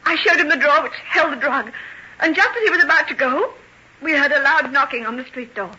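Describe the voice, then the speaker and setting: High, frantic young woman. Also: sharp tone. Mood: horrified, haunted. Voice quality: high, tremulous voice. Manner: anguished, breathless, halting dramatic delivery. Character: terrified, frantic young woman pleading she is sane. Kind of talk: old-time radio melodrama acting. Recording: muffled, noisy vintage radio recording.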